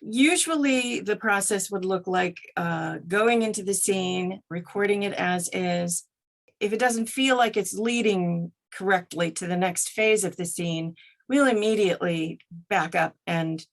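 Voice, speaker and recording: A woman answering interview questions conversationally, explaining things methodically as a working voice actor. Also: mid-range voice. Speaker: voice actor and audiobook director, podcast guest.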